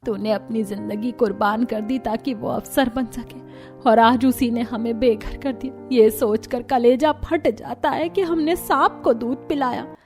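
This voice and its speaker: A woman's voice: a little high, steady tone, unhurried. An older, plain-spoken mother-in-law acted by a woman in a Hindi cartoon story. She is emotional and exclamatory, with faint music behind her voice. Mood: hurt.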